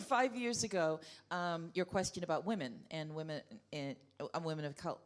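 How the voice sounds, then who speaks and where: A woman speaking on a panel, hesitant with halting starts. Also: on the low side.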